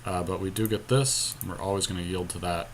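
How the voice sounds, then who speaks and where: A man's voice: deep voice, fairly even intonation, fast talker, a little hiss or hum. A man co-hosting a podcast, thinking aloud as he casually comments on his moves in a card game. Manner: thinks aloud, counting and reasoning through moves. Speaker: podcast co-host.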